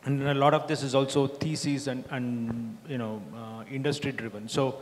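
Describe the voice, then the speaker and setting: A man's voice: medium pitch, steady tone, a little hiss or hum. Middle-aged man investor in a reverberant hall. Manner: measured, explanatory, many fillers. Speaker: investor panelist. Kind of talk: panel discussion answer. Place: large conference hall stage. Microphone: handheld mic.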